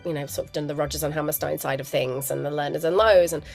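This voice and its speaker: An actress, a parent, talking in a chatty, enthusiastic way as an interview guest, husky from a cold. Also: female voice, low-pitched, steady tone, fast talker, faint music behind. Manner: enthusiastic, chatty, laughs often. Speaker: musical theatre actress, guest.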